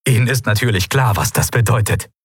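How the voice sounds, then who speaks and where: An eager male official pleads with his ruler, raising his voice in earnest bursts, as a narrator puts him on. The tone is husky and close in a dry room. It is storybook reading with lively, rising lines. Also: mid-range voice, a little fast, no echo, exaggerated voice.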